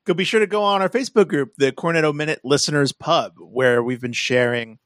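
A man's voice: on the high side, fairly quick, a bit bright, no echo, studio quality. A man co-hosting a podcast in a casual, informal way, thinking aloud as he talks through films. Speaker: podcast co-host. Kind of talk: casual film discussion.

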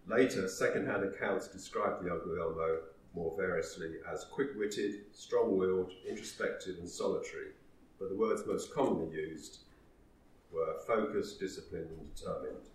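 A man with a very low voice gives a measured history lecture. He sounds set back from the microphone in a roomy, echoing space. A faint hum of room noise sits underneath. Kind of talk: historical talk. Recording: roomy echo, distant mic.

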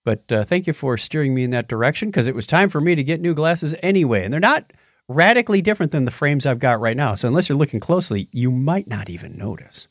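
A man's voice: mid-range voice, animated, fast talker, no echo, studio quality. A middle-aged man co-hosting a podcast, chatting casually about gadgets. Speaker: podcast co-host.

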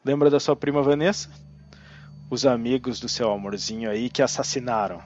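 An actor with a Brazilian accent playing a manipulative undead necromancer in an audio drama, theatrical, grandiose and cajoling. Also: male voice, medium pitch, fairly even intonation, normal speed, a little mellow, lo-fi sound, natural voice. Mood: persuasive, sly. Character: undead male necromancer ancestor, smug, manipulative, persuasive villain.

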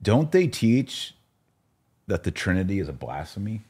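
A man's voice: fairly low pitch, big pitch swings, normal speed, a little mellow, husky. A man hosting a podcast, telling stories in a casual, informal way and acting out both sides of remembered conversations. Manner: informal, recounts dialogue in quotes. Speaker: podcast host.

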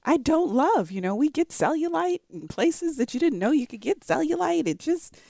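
A woman's voice: high-pitched, normal speed, vocal fry, no echo. A woman in her forties, a physician co-hosting a podcast, speaking candidly and expressively in casual conversation. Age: 40s. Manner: candid, expressive, laughs often.

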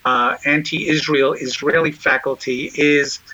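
A man's voice: on the high side, fairly even intonation, unhurried, sharp tone, no room sound. A man being interviewed as a guest over a poor long-distance connection, hesitant and full of fillers and restarts. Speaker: guest, nonprofit chairman. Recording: muffled long-distance line.

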